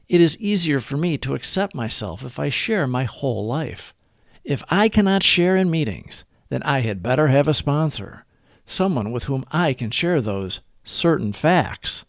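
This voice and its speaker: A man hosting a recovery podcast, reading daily reflections aloud in an earnest, measured way. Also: mid-range voice, dry recording, clean studio sound.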